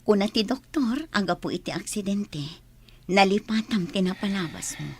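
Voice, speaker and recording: A woman's voice: mid-range voice, expressive, normal speed, a little mellow, natural voice. A woman with an Ilocano Filipino accent playing an attentive wife in a radio drama. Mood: reassuring. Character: attentive woman posing as an amnesiac man's wife.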